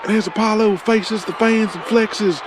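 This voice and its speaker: An actor playing a folksy, exclamatory, partisan wrestling commentator from the 1980s in an audio drama, calling a match. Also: male voice, sits high, steady tone, moderate pace, a crowd in the background, close to an everyday voice. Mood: excited, outraged.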